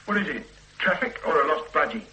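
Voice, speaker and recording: An actor playing a wry, sardonic police sergeant in a radio drama. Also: male voice, on the high side, animated, brisk, sharp tone, poor audio. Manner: dry and sardonic.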